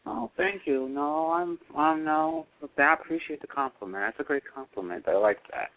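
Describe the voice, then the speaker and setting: A man's voice: a little high, expressive, normal speed. A man hosting a radio interview, chatty and stumbling over his words. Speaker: radio host. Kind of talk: casual interview. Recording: tinny phone line.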